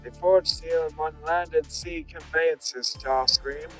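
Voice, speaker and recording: Man as a high-pitched stately alien robot. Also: fairly even intonation, a bit bright. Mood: solemn, formal. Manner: formal, declamatory, deliberate. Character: stately alien robot envoy reporting to his brothers. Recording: voice under background music.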